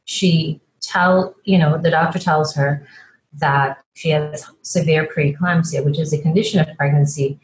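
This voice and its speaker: A low-voiced woman from Guyana, a fertility doctor, speaks as a podcast guest. She talks in a measured, storytelling way, with frequent fillers. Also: fairly even intonation, low-quality recording. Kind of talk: podcast interview.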